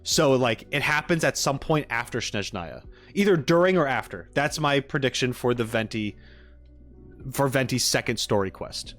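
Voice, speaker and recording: A man's voice: medium pitch, animated, moderate pace, fairly bright tone. A man chatting casually as a livestream host, thinking aloud in short, informal, trailing phrases. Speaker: streamer and reaction host. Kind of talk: casual livestream chat, musing about a game. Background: background music.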